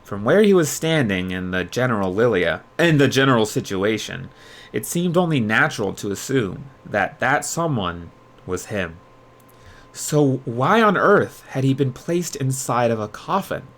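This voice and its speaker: A man hosting a livestream readalong, moving between expressive storybook narration and casual chat with viewers. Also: medium pitch, measured pace. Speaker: streamer host reading aloud.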